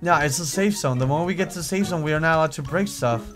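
Excitable higher-voiced man gaming, music behind. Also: very fast. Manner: excitable, exclaims and swears freely. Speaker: gaming video host. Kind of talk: casual Minecraft roleplay chat while playing. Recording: music under the voice. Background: background music.

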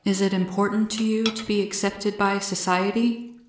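A woman's voice: medium pitch, level tone, normal speed, echoey room, a little hiss or hum, natural voice. A woman voicing a curious, blunt but polite male alien with formal, literal phrasing in a storybook. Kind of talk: storybook character voice.

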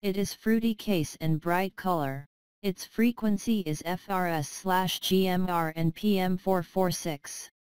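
A woman's voice: on the low side, steady tone, a little slow, darkish tone, clear, resonant tone, no room sound, low-quality recording. A woman reading a scripted product narration, with careful, non-native English phrasing. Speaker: product presenter.

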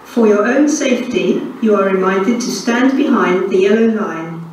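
A woman's voice: mid-range voice, normal speed, a little mellow. Echoey automated British woman station announcer. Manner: formal, segmented, pieced-together delivery. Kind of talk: scripted public-address announcement. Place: railway station announcement system. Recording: echoey station PA, distant, noisy.

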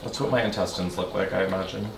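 A man's voice: low voice, fairly even intonation, quick pace, vocal fry, a little hiss or hum. A young man, a guest on a cooking vlog, trading sassy, dramatic quips over the stove. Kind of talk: casual cooking chat. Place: home kitchen, indoors. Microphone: camera mic, medium distance. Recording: echoey kitchen with clattering dishes.

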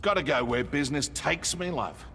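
An actor playing a cocky, sleazy Australian mercenary crime boss in a video game, taunting in thick slang over music. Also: male voice, a little high, normal speed, background noise, natural voice. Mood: smug. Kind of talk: video game character dialogue.

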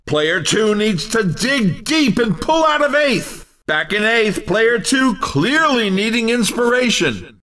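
A man playing an excitable, teasing, hammy race commentator in a video game. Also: extremely high pitch, recorded from a distance, heavily acted. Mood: teasing, upbeat. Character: excitable, cheeky male racing game announcer.

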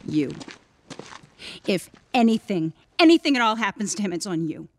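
An actress playing a fierce, protective wife in a video game, forceful and emotional. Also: female voice, medium pitch, sing-song, moderate pace, a little hiss or hum. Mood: bitter, upset. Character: fierce, protective adult wife, no-nonsense survivor. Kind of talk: dramatic video game acting.

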